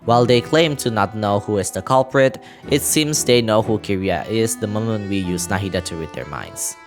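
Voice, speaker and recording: Low-pitched man narrating, lively intonation. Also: normal speed, faint music behind. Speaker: video creator narrating a game story recap. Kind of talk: scripted narration with casual asides.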